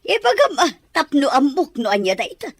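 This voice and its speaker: A woman's voice: high voice, very animated, moderate pace, no room sound. A woman voicing a skeptical, gossipy older village woman in an audio drama, asking pointed questions. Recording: faint background music.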